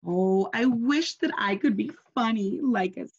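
Small storybook chameleon played by a woman, wishful, slow, slightly husky, dull lo-fi sound. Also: a little high, darkish tone, slight background noise. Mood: longing. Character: small chameleon, wishful, forever longing to be different.

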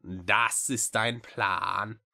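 Grumpy old-school witch, really deep n slow, sighs, grudging, storybook. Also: sharp tone, full character voice. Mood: exasperated. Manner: slow, drawling, grudging, sighing. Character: grumpy, weary female witch-like creature, reluctant, sardonic. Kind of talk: audiobook character voice.

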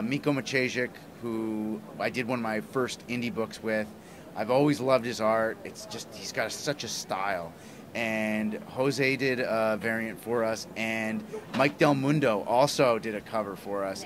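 A middle-aged man giving a casual, effusive interview as a guest, speaking into a handheld mic. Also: on the low side. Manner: enthusiastic, effusive. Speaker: interview guest, comic writer and broadcaster. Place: small plywood-walled booth at a convention. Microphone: handheld interview mic held toward him. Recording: clear background room noise. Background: convention ambience.

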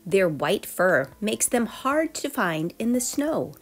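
A woman reading a children's nonfiction book aloud as storyteller, expressive and addressing young listeners directly. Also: mid-range voice, takes it slowly. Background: background music.

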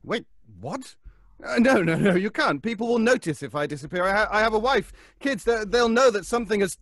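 An officious lawyer in a horror audio drama, callous in manner. The voice sits high for a man, with lively intonation and a dry sound. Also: fairly quick, dry recording, heavily acted. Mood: panicked.

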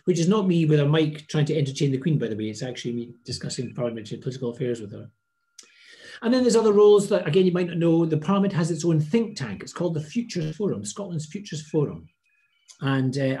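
A middle-aged man answering interview questions over a video call from an office, measured and often restarting his phrases. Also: on the high side, warm tone. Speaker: parliament presiding officer. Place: office with blinds and a plant.

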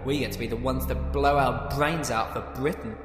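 A man's voice: medium pitch, fairly even intonation, moderate pace, roomy sound, long reverb tail, over music, close to an everyday voice. A man playing a nervous, sarcastic resistance fighter on a radio mission in an audio drama. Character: jumpy, sardonic male resistance fighter.

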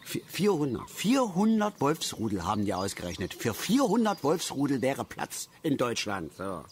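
An actor playing a stubborn, self-pitying middle-aged angler who grumbles and stammers self-righteously in a naturalistic radio play. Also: male voice, medium pitch, huge pitch swings, normal speed, slight background noise. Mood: ranting, grumbling.

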